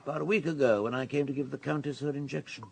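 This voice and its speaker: An actor playing a cautious, professional doctor in a radio drama, speaking precisely and guardedly. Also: male voice, mid-range voice, fairly even intonation, fast talker, creaky, a little mellow, breathy edge. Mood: measured, guarded.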